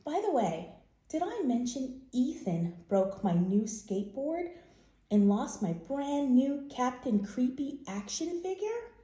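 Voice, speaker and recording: An indignant little boy played by a woman reader airs his grievances in a storybook letter. The delivery is dramatic and mock-formal, swooping high on key words, with a mellow tone in a roomy space. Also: big pitch swings, slow, full character voice. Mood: exasperated, upset. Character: indignant young boy writing complaint letters to his dad.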